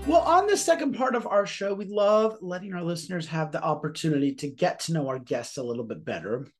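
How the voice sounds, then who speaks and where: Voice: medium pitch, expressive, fairly quick, soft background music. Cheerful interview host, gushes, keeps it light and easy. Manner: upbeat, gushing. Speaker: podcast host. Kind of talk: podcast interview.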